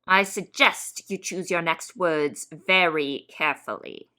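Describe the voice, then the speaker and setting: A woman's voice: fairly low pitch, measured pace, pushed and bright. A woman playing a stern household superior in an audio drama, clipped, formal and commanding. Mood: cold, threatening.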